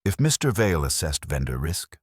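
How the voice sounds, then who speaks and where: A man performs an imperious genius detective in a mystery audiobook. The voice is extremely low and husky, rising and falling expressively. He talks in formal, measured pronouncements with a pompous air. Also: big pitch swings, dry recording, studio quality, heavily acted. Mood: dry, superior. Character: imperious, cerebral male detective who speaks in pronouncements.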